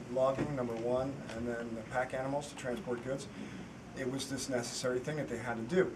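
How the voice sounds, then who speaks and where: A filmmaker on a festival panel answering audience questions in earnest, rambling sentences full of restarts. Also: male voice, mid-range voice. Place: festival screening hall. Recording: distant mic with noisy room.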